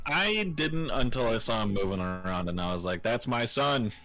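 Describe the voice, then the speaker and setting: A man's voice: lowish voice, expressive, normal speed, a bit bright, soft background music. A man joking casually as a player in a group game stream.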